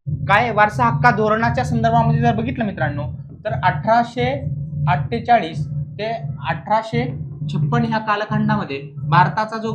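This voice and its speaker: A man's voice: high voice, a bit bright. Male exam coach who keeps checking in. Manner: repeats key points, frequent check-in questions to students. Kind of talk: classroom lecture explaining exam questions.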